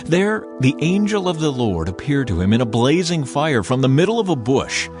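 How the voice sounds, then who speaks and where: A man giving a measured, solemn, scripted dramatic narration as an audio Bible reader. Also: mid-range voice, very animated. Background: faint music.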